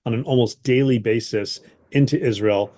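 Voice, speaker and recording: A man's voice: medium pitch, moderate pace, background noise. A man giving analytical commentary as an expert interviewee.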